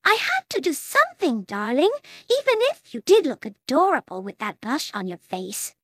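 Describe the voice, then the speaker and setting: A woman's voice: high-pitched, wildly swooping pitch, moderate pace, a bit bright, breathy edge, dry recording, voice pushed up, heavily acted. A woman voicing a refined, gracious young female pony from a cartoon fan story, with a polite, ladylike manner. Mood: teasing, reassuring.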